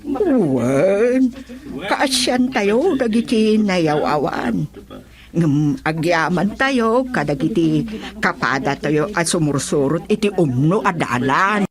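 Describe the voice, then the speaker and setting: A woman playing an excitable, melodramatic comic character in a radio comedy drama. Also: fairly low pitch, takes it slowly, a crowd in the background. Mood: subdued.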